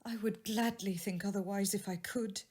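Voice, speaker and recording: A woman voicing a sorrowful, dignified young woman in a classic storybook tale, speaking gently and earnestly. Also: medium pitch, steady tone, normal speed, husky, pitched above the performer's own voice, natural voice. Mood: sorrowful, resolute. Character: sorrowful, dignified young woman releasing her changed fiancé. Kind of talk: dramatised audiobook reading of a classic tale.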